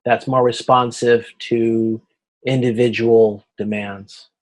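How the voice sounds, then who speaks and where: Guy teaching an online class, casual and a bit hesitant, mid-pitched voice, explains as he goes. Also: flat delivery, slow. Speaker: instructor. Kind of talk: informal video-conference lecture explaining slides.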